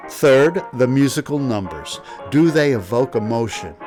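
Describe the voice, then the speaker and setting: Male narrator-host, mid-pitched, scripted review commentary over a prominent music bed. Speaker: host, reviewer of old-time radio.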